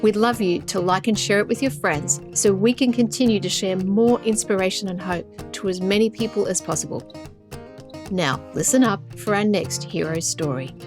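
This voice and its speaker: A woman hosting a podcast, earnest and gentle as she introduces and encourages her guest. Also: medium pitch, moderate pace, music in the background. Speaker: podcast host.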